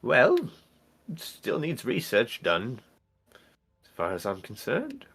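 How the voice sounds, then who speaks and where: A man voicing a flustered, hesitant university professor in a tabletop game, speaking haltingly. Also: mid-range voice, huge pitch swings, fairly bright tone, breathy edge, exaggerated voice.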